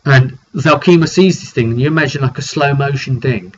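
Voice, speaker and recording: Male game master, mid-pitched, casual off-the-cuff storyteller with halting delivery; muffled home-grade recording. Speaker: game master recounting a tabletop session. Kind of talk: casual, improvised storytelling of a fantasy roleplay game. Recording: dull lo-fi audio with faint noise.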